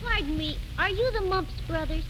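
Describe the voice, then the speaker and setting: A woman's voice: extremely high pitch, moderate pace. A woman playing a chirpy, unafraid office visitor in a 1930s radio comedy. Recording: very noisy 1930s radio recording.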